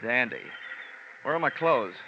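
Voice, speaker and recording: A man's voice: medium pitch, lively intonation, a little slow, lo-fi sound, a little hiss or hum, natural voice. An actor playing a hard-boiled American insurance investigator, narrating his case in a wry, clipped, wisecracking way in vintage radio drama. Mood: sardonic. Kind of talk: 1940s-50s radio detective narration. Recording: band-limited old radio recording with music.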